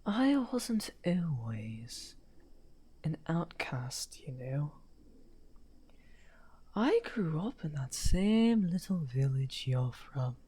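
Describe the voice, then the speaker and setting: A man playing a misunderstood outcast necromancer wizard, smugly amused and taunting, in an unhurried, breathy, often half-whispered ASMR roleplay. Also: a little high, sing-song, warm tone, exaggerated voice. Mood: bitter, confiding. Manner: unhurried, taunting, drawn-out phrasing. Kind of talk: slow theatrical ASMR roleplay.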